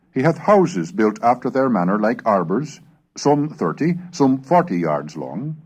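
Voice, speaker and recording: A man reads archaic prose as a formal narrator, with pitch that rises and falls widely across each phrase. His voice sits in a middle register, and the delivery is measured and declamatory, like a scripted recitation of old chronicles. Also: darkish tone. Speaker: reader of historical texts. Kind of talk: scripted narration, dramatic reading of archaic English texts.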